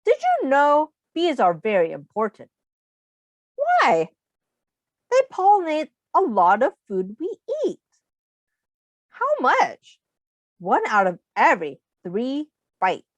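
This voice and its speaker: Slow, very animated woman reading to children. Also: sits high, no echo. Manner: deliberate, expressive, pausing and prompting young listeners with questions. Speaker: teacher reading aloud to young children. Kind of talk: children's picture book read-aloud with questions to listeners.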